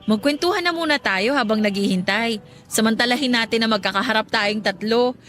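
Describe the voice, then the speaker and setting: A woman playing an affectionate, teasing younger married woman in a Filipino radio drama, speaking in a gently reassuring way. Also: a little high, a little fast, faint music behind, close to an everyday voice. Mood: fond.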